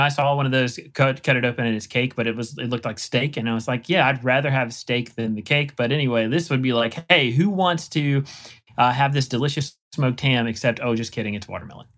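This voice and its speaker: A man's voice: medium pitch, a little fast, fairly bright tone. A man with an American accent hosting a podcast in casual conversation. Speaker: podcast host. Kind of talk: casual chat.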